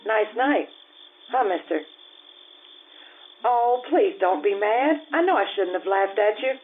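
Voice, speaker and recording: A woman's voice: medium pitch, lively intonation, measured pace, narrow, tinny audio, faint music behind, natural voice. A woman playing a blunt, teasing, good-humored widowed Italian cook in an old-time radio drama. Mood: frank, friendly.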